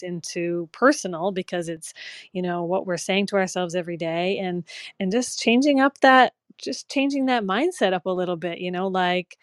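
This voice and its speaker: A woman's voice: fairly low pitch, dry recording, studio quality. A woman joining a talk by remote call, speaking in a chatty, upbeat way with many fillers. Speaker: guest caller. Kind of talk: casual chat.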